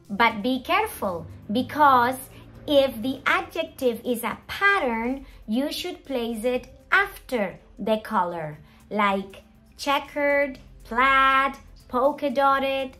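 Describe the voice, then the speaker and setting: A middle-aged woman presenting an English grammar lesson as a teacher, expressive and emphatic, with deliberate enunciation and rhetorical questions. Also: sits high, soft background music. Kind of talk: animated scripted grammar lesson. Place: small indoor room at a whiteboard.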